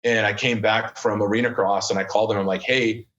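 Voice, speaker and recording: A man's voice: fairly low pitch, little pitch movement, fairly quick, a bit bright, muffled recording. A man in his thirties, a podcast guest, talking casually and rambling with lots of fillers and restarts. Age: 30s. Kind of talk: casual chat.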